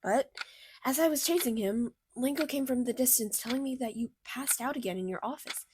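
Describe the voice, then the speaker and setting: A woman voicing a bubbly, caring female cartoon character in a radio play, chatty, motherly and expressive. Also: sits high, fairly quick, background noise, close to an everyday voice. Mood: concerned. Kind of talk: fictional radio theater acting.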